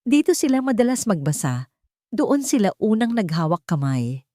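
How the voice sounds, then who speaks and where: A woman's voice: medium pitch, sing-song, normal speed, mellow, no echo, studio quality. A woman reading a scripted romantic drama as a storyteller.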